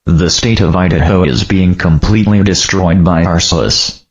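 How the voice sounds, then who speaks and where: A deep male announcer acted out by a man reads a grim emergency broadcast in a formal, flat manner. The scripted delivery stays solemn, and the audio is dull and lo-fi. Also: moderate pace, natural voice.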